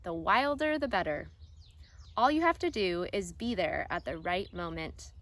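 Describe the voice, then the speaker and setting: A woman reading a children's picture book aloud like an elementary teacher, deliberate and expressive with pauses. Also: on the low side.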